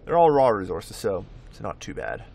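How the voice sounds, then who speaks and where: A man's voice: lowish voice, huge pitch swings, moderate pace, creaky, a little mellow. A man giving casual gameplay commentary, thinking aloud in a rambling way and often correcting himself. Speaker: host of a gameplay video.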